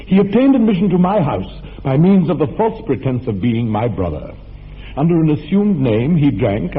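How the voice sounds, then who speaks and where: A man voicing a stiff, proper young English gentleman in a radio play, speaking formally and with mock gravity. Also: medium pitch, lightly acted. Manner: formal, precise, mock-grave speech. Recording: thin narrow-band radio recording with faint hiss.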